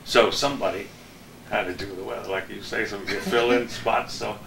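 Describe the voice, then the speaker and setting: A man's voice: on the low side, steady tone, normal speed, vocal fry, crowd noise. An older man, a veteran TV weatherman, chatting casually and telling anecdotes with a chuckle. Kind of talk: casual reminiscing chat. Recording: roomy echo, faint background noise.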